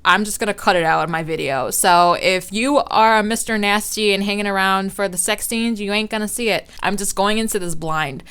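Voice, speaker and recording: A woman's voice: mid-range voice, brisk, few pauses, clear, resonant tone. A young woman doing a casual let's play, chatting in slang and reading visual novel text aloud with small stumbles. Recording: loud music behind the voice.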